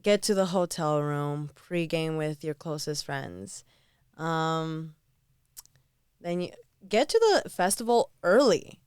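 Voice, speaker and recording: Bubbly young woman, very clear voice. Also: sits low, slow, no room sound, studio quality. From Southern California. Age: 20s. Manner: bubbly, animated, laughs often. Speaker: guest DJ. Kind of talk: casual podcast chat.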